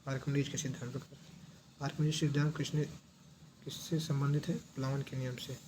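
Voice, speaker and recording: A man with a medium-pitched voice teaches as an exam coach. He poses short questions and then repeats the answers in a didactic way. There is clear noise behind his voice. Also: level tone, fairly quick, a little mellow, poor audio.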